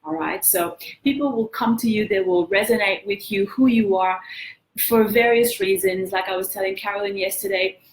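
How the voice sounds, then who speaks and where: A woman's voice: medium pitch, normal speed, echoey room, rough recording. A woman with a French accent hosting a live coaching session, talking earnestly and encouraging viewers to share their feedback. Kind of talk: motivational live-stream talk.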